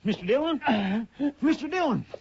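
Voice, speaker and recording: An actor playing a loyal, folksy deputy sidekick with deferential, homespun speech in a 1950s radio drama. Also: male voice, very high voice, very animated, moderate pace, gravelly, full character voice. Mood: alert. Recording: lo-fi 1950s radio broadcast with hiss.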